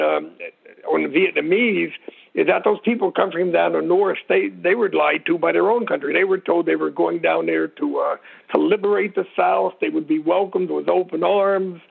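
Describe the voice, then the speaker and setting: A man's voice: on the high side, vocal fry, slightly rough. An older American man explaining things informally as an expert interview guest, blunt and emphatic, in short repeated phrases. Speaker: military expert guest, retired sergeant major. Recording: tinny narrow phone-line radio sound.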